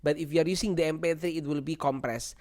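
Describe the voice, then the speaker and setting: A man who speaks English as a second language presents an informal software walkthrough, repeating himself and often checking in with the listener. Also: a little high, fairly even intonation, fast. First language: not English. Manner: repetitive, seeks agreement with "okay" and "right". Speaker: tech tutorial presenter.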